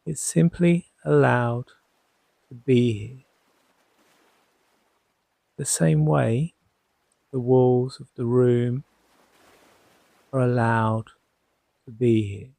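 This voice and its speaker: Very slow, soothing man guiding sleep hypnosis. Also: medium pitch, fairly even intonation, gravelly, no room sound. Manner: soothing, unhurried, gently reassuring, with long pauses. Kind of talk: guided sleep hypnosis narration.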